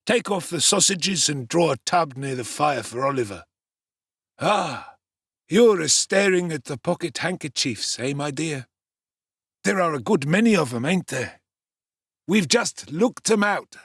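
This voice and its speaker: A man's voice: on the high side, lively intonation, a little slow, a bit bright, slightly rough, dry recording, clean studio sound, pitched above the performer's own voice, heavily acted. A man voicing a sly old criminal gang master with oily, ingratiating friendliness in a dramatic audiobook. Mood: oily, wheedling. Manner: ingratiating, wheedling.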